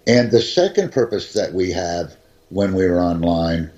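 A man teaching English conversation, speaking slowly and deliberately and repeating his key points. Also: sits low, expressive, a little mellow, muffled recording. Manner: slow, carefully enunciated, repeats key phrases. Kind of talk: slow, deliberate teaching talk.

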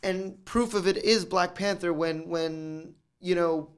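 A man from the United States talking in a casual discussion, animated and acting out quoted exchanges. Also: high-pitched, takes it slowly, smooth.

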